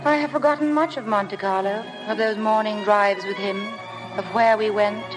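An actress playing a shy, self-effacing young paid companion in a 1950s radio drama. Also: female voice, mid-range voice, steady tone, moderate pace, natural voice, rough recording. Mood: wistful. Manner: hesitant, apologetic. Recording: old radio broadcast with music.

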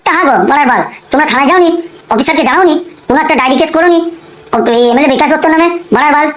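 A woman's voice: high-pitched, very fast, background noise, natural voice. A woman voicing a short-tempered, foul-mouthed male gangster boss in a comic cartoon, blustering and repeating curses. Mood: menacing. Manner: blustering, curse-laden, repetitive. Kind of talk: amateur comic cartoon dialogue.

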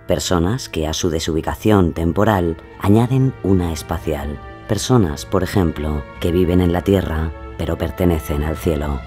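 A man's voice: low-pitched, darkish tone, close to an everyday voice. A man playing a sober storyteller and confidant in a scripted audio drama. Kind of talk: audio drama narration and companion lines, Spanish.

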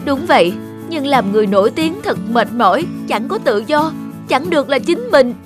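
A man's voice: very high-pitched, sing-song, normal speed, over music. A man voicing a weary, longing young singer in a cartoon, with a plaintive, theatrical delivery. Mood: disillusioned. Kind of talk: cartoon voice acting.

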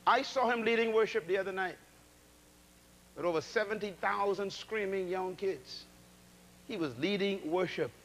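A middle-aged man preaching a sermon to a large congregation in a church auditorium, animated, calling out to the crowd and swinging from loud to soft. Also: sits high, normal speed, long pauses, a little hiss or hum, low-quality recording. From Bahamas. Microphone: handheld mic.

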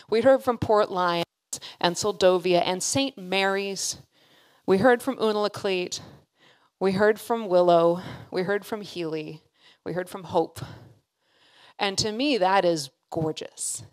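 A woman in her thirties speaks from a podium into a close handheld microphone. Her voice sits at a middle pitch, and her delivery is informal but earnest, leaning on emphatic repetition. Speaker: keynote speaker. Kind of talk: informal keynote speech. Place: hall with seated audience. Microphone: handheld microphone held close at a podium.

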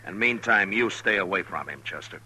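A man's voice: low-pitched, expressive, fairly quick, bright, forceful tone, heavily acted, rough recording. An actor playing a stern, weary frontier lawman in a 1950s radio western, terse and commanding. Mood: resigned, firm. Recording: hissy 1950s radio recording.

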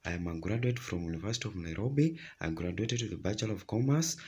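Man, from Kenya, freelance marketer, steady, lowish husky voice. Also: quick pace. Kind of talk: self-introduction video. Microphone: phone at arm's length.

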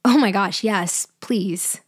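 A woman playing a cunning female mafia leader in an ASMR roleplay, speaking softly and hesitantly. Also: mid-range voice, takes it slowly, background noise, close to an everyday voice. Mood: feigned helplessness. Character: cunning female mafia leader feigning a helpless stranded motorist.

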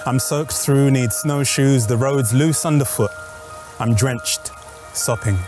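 A man reciting a poem slowly and rhythmically. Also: medium pitch, music in the background. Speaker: poet. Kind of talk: poetry reading.

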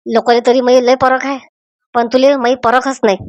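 Excitable cartoon villager, voiced by a woman: raised pitch, emphatic comic delivery, rural Vidarbha Marathi accent, dry studio-like sound. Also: moderate pace, dry recording, close to an everyday voice. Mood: smug, taunting.

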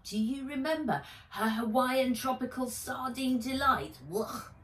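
A woman's voice: high voice, unhurried, roomy sound, far from the mic, pitched above the performer's own voice. Woman doing a chirpy, nosy schoolboy. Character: nosy, chatty boy cousin, too bright and cheeky. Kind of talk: children's book read-aloud.

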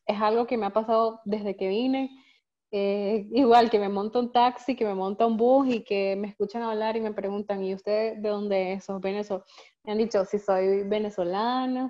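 Dull lo-fi call sound, woman, Nicaraguan accent, mellow relaxed voice, conversational moderator. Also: medium pitch. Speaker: webinar moderator. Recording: dull, lo-fi online call audio.

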